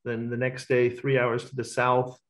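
A man's voice: medium pitch, completely flat delivery, normal speed, a bit bright. A middle-aged man, talkative and self-assured, giving casual interview answers as a racecourse bookmaker. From Australia.

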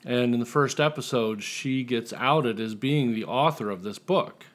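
A man's voice: medium pitch, a little slow. A man talking casually and jokingly as a writer being interviewed on a podcast.

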